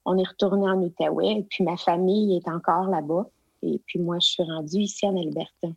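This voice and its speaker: A woman's voice: on the low side, steady tone, fairly quick, gravelly, darkish tone, slightly rough, dry recording, lo-fi sound. Quebec French woman in her forties, hesitant, conversational, dry close sound. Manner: hesitant, many fillers and restarts. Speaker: guest, provincial education consultant. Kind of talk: casual interview conversation.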